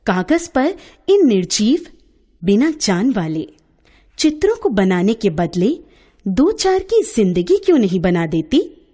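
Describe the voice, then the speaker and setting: A woman's voice: medium pitch, big pitch swings, slow, dark tone, slightly rough, full character voice. A woman voicing an earnest, grumbling young woman social worker in a storybook tale, reproachful and indignant.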